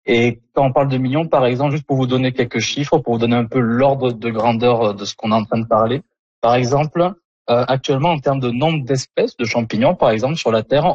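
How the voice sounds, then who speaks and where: A man's voice: medium pitch, darkish tone, husky, dry recording. A young man with a southern French accent, explaining as a researcher interviewed over a dull remote connection. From Toulouse, southern France. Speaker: interview guest, mycology researcher.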